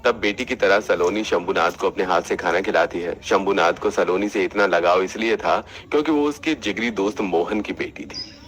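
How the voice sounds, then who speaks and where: A man's voice: deep voice, normal speed, fairly bright tone. A man delivering scripted story narration for a cartoon family tale.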